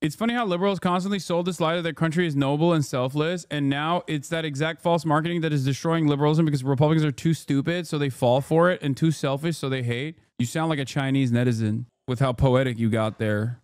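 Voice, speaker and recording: A man's voice: on the high side, fairly even intonation, fast talker, hardly any pauses, a little mellow, clear, resonant tone, no room sound, clean studio sound. Sarcastic, profane man on a livestream. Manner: sarcastic, profane, talks over clips. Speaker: streamer reacting to news clips. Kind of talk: casual livestream commentary.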